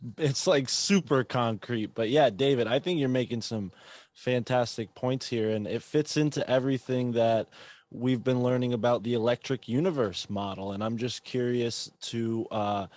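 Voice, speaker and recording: A man co-hosting a podcast interview, asking questions in a measured, curious way. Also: medium pitch, darkish tone, no echo.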